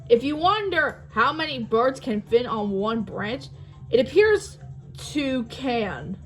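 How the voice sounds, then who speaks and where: A woman's voice: a little high, lively intonation, slow pace, soft background music. Showy, swooping boat skipper, done by a woman. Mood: cheerful patter. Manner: theatrical, punning announcer delivery. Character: showy male boat skipper, cheerful comic tour guide.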